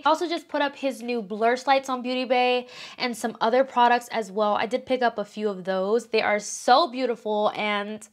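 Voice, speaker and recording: A young woman talks through new makeup launches with bubbly, chatty enthusiasm, her voice a touch strained and sitting on the higher side. She speaks straight to camera from a small home studio. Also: fairly bright tone. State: slightly strained voice after screaming. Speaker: beauty vlogger. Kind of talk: casual makeup review to camera.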